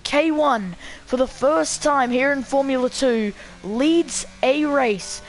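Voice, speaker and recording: A woman commentating live on a sim race, her voice slightly croaky from being sick. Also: sits high, slow, poor audio.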